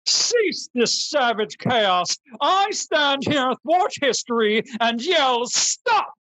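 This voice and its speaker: A woman playing a pompous, easily outraged male talk-show host in a comedy radio sketch, declaiming in archaic, highbrow phrases. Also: very animated, slow, a bit bright, no echo, full character voice, poor audio. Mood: indignant.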